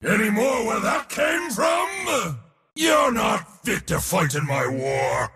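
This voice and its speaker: A man voicing a proud, boastful god of war for a video game, gravelly, pompous and theatrical in delivery. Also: high-pitched, very animated, takes it slowly, bright, forceful tone, raised voice, long reverb tail, heavily acted. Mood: battle-roused, commanding. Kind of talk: video game character voice lines.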